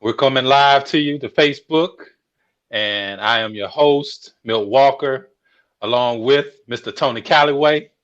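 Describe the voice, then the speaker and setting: A man's voice: mid-range voice, a little slow, fairly bright tone. A man hosting a live show, speaking in an earnest, welcoming way. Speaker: host. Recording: dull lo-fi audio.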